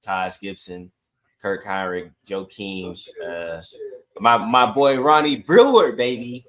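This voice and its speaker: A man's voice: on the low side, slow, fairly bright tone. A man hosting a sports podcast, talking in casual, slangy style and repeating phrases for emphasis.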